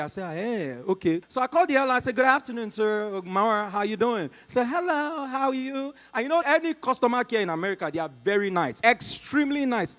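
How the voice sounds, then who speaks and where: A Nigerian man with a high voice performs stand-up on stage. He tells a story and slips into short put-on voices for each person in it, with loud, punchy exclamations. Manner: acts out callers and agents in quick put-on voices, loud exclamations. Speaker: stand-up comedian. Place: comedy club stage.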